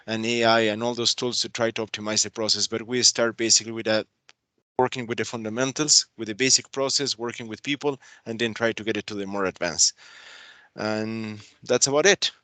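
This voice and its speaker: Peruvian-accented man, bright and dry. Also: lowish voice, clean tone, dry recording. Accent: Peruvian Spanish. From Peru. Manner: earnest, halting with fillers. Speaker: process control engineer. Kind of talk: webinar self-introduction.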